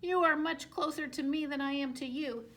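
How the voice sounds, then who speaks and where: A woman plays a fussy gander from a picture book, high and bright. He is stubborn and earnest, speaking with proper, honking emphasis. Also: moderate pace.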